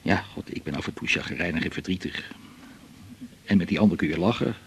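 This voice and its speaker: Low, gravelly, melancholy man in his forties. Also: a little hiss or hum. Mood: dejected. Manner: confiding, halting, reflective. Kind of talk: naturalistic radio drama dialogue.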